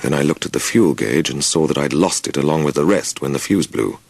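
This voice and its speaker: A man reading a first-person story as an audiobook narrator, with measured, deliberate phrasing and dramatic pauses. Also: very deep voice, lively intonation, gravelly, no room sound.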